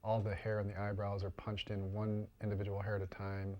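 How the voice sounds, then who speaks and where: Low-voiced older man, even delivery. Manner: halting, repeats words. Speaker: interviewed prop and mask maker. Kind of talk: casual interview explanation.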